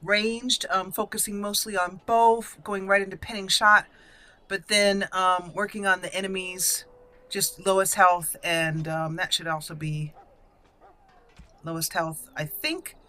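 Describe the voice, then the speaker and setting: Bright-voiced woman doing game commentary. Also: medium pitch, flat delivery, unhurried. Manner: thinks aloud in short fragments. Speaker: gaming channel host. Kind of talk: casual game walkthrough commentary.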